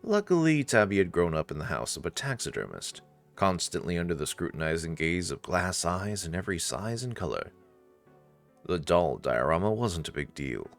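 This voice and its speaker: A man's voice: deep voice, very animated, normal speed, soft background music. A man narrating a visual novel playthrough expressively, with casual asides.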